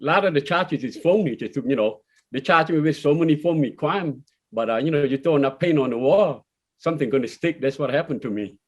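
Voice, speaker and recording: Male interviewee from Laos and Iowa, slightly higher pitch, plain informal personal account. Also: lively intonation, brisk, husky, muffled recording. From born in Laos, grew up in Iowa. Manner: plain-spoken, non-standard grammar. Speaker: interview guest, former prisoner.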